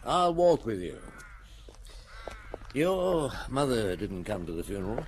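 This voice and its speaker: An actor playing a shrewd, patient middle-aged police detective in a radio drama, with a measured, probing way of talking. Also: male voice, mid-range voice, big pitch swings, slight background noise. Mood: gently probing. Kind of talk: radio drama dialogue and first-person narration.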